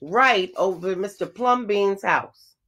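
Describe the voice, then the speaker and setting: A woman teacher reading a children's storybook aloud, with expressive phrasing and emphasized words. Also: medium pitch, slow pace.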